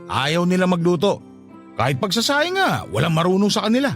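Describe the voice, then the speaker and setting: High-pitched, animated, callous Filipino husband. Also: male voice, brisk. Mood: impatient, blaming. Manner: dismissive, sneering, impatient. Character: callous, irresponsible husband, scornful and selfish. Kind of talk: Filipino radio drama acting. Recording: faint music bed behind voice.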